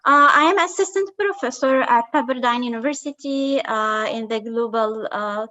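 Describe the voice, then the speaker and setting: A woman's voice: a little high, pushed and bright. A woman from Palestine, an assistant professor, introducing herself on a call with frequent fillers and hesitations. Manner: halting, many fillers, laughs easily. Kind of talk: self-introduction in conversation. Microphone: video call. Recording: dull lo-fi call audio.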